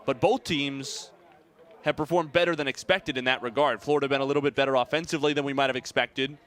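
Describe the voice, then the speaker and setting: A young American man calling baseball play-by-play in a measured, articulate way. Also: medium pitch, fairly even intonation, fairly bright tone. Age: young adult. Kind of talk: sports play-by-play commentary.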